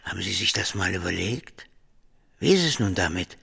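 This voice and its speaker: Domineering boss, low-voiced, reverberant. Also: male voice, very animated, normal speed, gravelly, bright, forceful tone, breathy edge, full character voice. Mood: sly, menacing. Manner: curt, domineering, colloquial. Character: bullying, sly male grain-merchant boss. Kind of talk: German radio play acting.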